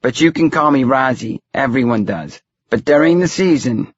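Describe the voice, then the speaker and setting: A man voicing a plain-spoken widow with a Brooklyn accent and a flat, matter-of-fact manner in an audiobook. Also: mid-range voice, normal speed, husky, no room sound, highs cut off, pitched above the performer's own voice. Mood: friendly. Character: plain-spoken Brooklyn widow, friendly part-time psychic.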